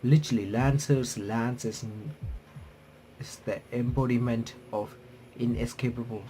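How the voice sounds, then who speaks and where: A man reading game text aloud and adding casual, halting commentary as a playthrough streamer. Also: medium pitch, fairly even intonation, dark tone, slight background noise. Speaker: YouTuber playing and reacting to a visual novel.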